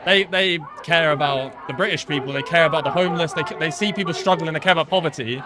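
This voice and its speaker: A man's voice: fairly high pitch, fast. A man interviewing in a casual, agreeable and sympathetic way outdoors. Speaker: documentary interviewer. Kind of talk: casual conversation. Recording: outdoor street with crowd noise.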